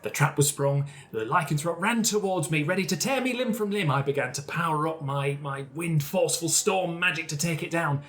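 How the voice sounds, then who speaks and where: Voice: medium pitch, brisk, hardly any pauses, fairly bright tone, lightly acted. A performer voicing a theatrical, centuries-old grandmotherly woman in a tabletop game, gushing with endearments. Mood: dramatic.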